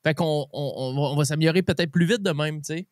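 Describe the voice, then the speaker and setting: A Québécois man hosts a relaxed interview in a voice pitched a bit high for a man. He talks in loose slang, often restarting his phrases. The sound is close and very dry. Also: dry recording. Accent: Québécois French. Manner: informal, slangy, halting with repeated false starts. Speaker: podcast host. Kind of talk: casual interview chat.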